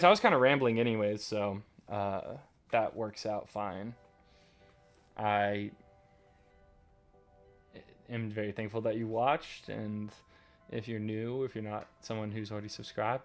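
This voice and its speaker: Low gravelly man rambling over gameplay. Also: fairly even intonation. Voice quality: slight stutter. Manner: rambling, halting, many fillers and restarts. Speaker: gaming channel host. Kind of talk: casual commentary while playing. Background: faint lo-fi music and keyboard clicks.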